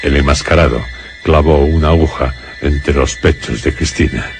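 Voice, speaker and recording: A man narrating a scripted radio horror story in a grave, deliberate manner, with dramatic pauses. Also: very deep voice, music in the background.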